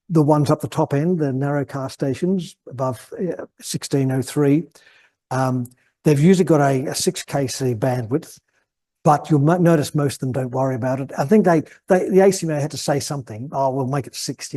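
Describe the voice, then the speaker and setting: An Australian man, probably over fifty, giving an informal technical talk at a club meeting, halting and rambling as he repeats words mid-thought. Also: a little high, mellow. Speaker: guest speaker at an amateur radio club meeting.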